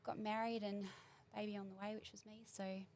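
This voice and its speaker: A woman in her forties with a New Zealand accent chats as a guest on a small studio podcast. She talks warmly and rambles as she recalls things, with plenty of casual fillers. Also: mid-range voice, level tone, a little fast, a little hiss or hum. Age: 40s. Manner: warm, chatty, rambling recollection. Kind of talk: casual interview chat.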